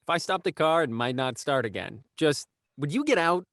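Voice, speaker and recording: A man's voice: fairly high pitch, animated, moderate pace, fairly bright tone, no room sound, clean studio sound. An actor playing a brash, broke young man, a protective older brother who talks bluntly and swears. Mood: gruff. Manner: blunt, crude, defensive.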